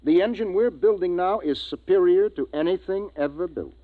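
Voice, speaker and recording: An actor playing a tough space expedition commander, urgent and authoritative, in a 1950s radio drama. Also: male voice, high voice, moderate pace, no echo, natural voice. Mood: businesslike. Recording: thin, phone-like old radio recording.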